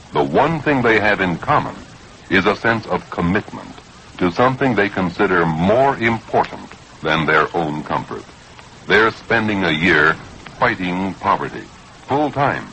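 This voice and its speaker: American guy reading an old 60s radio psa, low and forceful, short emphatic phrases, bit of noise on it. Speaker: public service announcement narrator. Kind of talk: 1960s scripted radio spot narration. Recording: faintly noisy 1960s radio recording.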